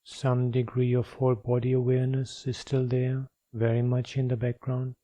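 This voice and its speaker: A man leading a guided meditation as a teacher, speaking in a measured, instructional way with long pauses. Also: mid-range voice, monotone, mellow, poor audio.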